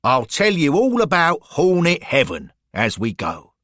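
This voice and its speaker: Guy doing a chirpy enthusiastic guide character for an audio story, swoopy pitch, dry close sound. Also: wildly swooping pitch, a bit bright, no room sound, heavily acted. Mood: brisk, eager. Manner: brisk, enthusiastic, informative. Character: keen, cheery male club-history guide in the afterlife.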